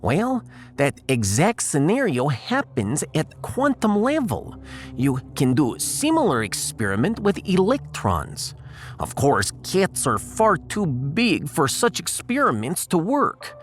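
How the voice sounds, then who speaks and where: Guy doing eccentric russian lady scientist, haughty lecturer, slow and super sing-song, audiodrama. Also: on the high side, wildly swooping pitch, soft background music, heavily acted. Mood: explaining. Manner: lecturing, dropping articles, teasing. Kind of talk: science fiction audiodrama acting.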